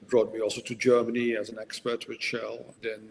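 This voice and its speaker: A man, a podcast guest who speaks in a measured way and pauses often with "uh". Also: mid-range voice, monotone, gravelly. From Netherlands. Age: 60s. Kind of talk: podcast interview. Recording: long reverb, faint background noise.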